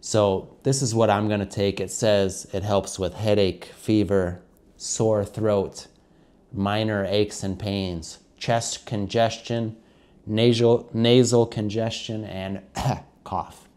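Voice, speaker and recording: Congested man, low voice. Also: little pitch movement, slow, darkish tone. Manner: chatty, keeps addressing viewers as guys. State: congested with a cold. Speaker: English teacher vlogger. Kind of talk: casual explanatory vlog to learners.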